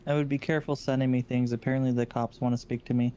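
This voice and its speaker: A man playing a calm, unrepentant murder facilitator in online game roleplay, terse and deadpan. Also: mid-range voice, fast talker, slight background noise, close to an everyday voice. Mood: matter-of-fact.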